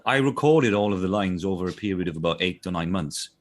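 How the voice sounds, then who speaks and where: A man with a fairly low voice and a South Welsh accent talks casually in an interview. He rambles through his thoughts, restarts and corrects himself, and often checks that the listener follows. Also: lively intonation, fast. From South Wales. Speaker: voice actor being interviewed.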